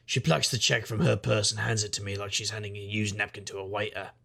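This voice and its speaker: An actor playing a sardonic, world-weary private investigator, narrating and talking in a dry deadpan noir style. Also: male voice, fairly low pitch, fast, gravelly, exaggerated voice. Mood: dry, knowing. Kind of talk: noir audio drama, first-person narration and dialogue.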